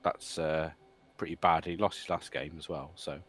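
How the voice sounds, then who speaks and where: A man's voice: extremely low pitch, fairly even intonation, moderate pace, a bit bright. A man co-hosting a casual stream about a fantasy sports board game league, rambling and trailing off. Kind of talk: casual chat commentary.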